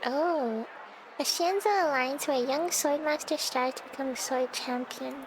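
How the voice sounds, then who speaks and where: A woman's voice: sits high, moderate pace, slight background noise, poor audio. A woman live streamer chatting casually with her viewers as she plays a game, in a playful, giggly, teasing way.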